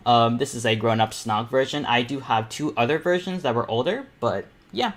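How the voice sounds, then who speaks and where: A man's voice: medium pitch, moderate pace. Nervous teenage guy on a livestream. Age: teens. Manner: nervous, excitable, rambling. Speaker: streamer and painting panel host. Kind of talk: casual livestream chat. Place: indoors at a computer, live stream.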